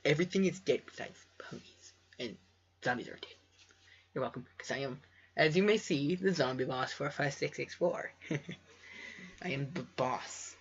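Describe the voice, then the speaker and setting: Amateur male reader, raspy and self-deprecating, stumbles and goes off on casual tangents, dull home recording. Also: medium pitch, moderate pace. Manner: stumbling over words, rambling, self-deprecating. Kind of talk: storybook reading with casual joking asides.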